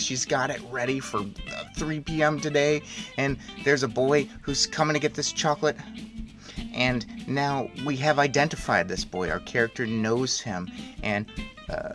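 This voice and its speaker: A man reading a story aloud and commenting on it as narrator. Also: medium pitch. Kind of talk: read-aloud narration with casual recap.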